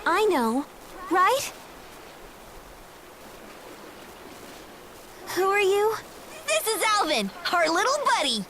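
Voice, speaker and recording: A woman playing a perky, eager and headstrong teenage girl adventurer in a video game, bubbly and expressive. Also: very high-pitched, measured pace, background noise, heavily acted. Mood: chirpy. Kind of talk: video game English dub acting.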